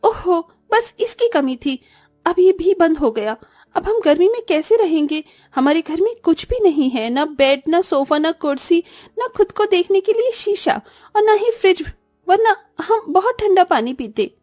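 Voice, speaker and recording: A woman's voice: very high-pitched, expressive, measured pace, a little mellow, dry recording, clean studio sound, soft background music. A woman voicing a poor young orphan girl in a cartoon story, whiny and childlike. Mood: whining. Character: poor young orphan girls, plaintive little sisters. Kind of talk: scripted cartoon dialogue.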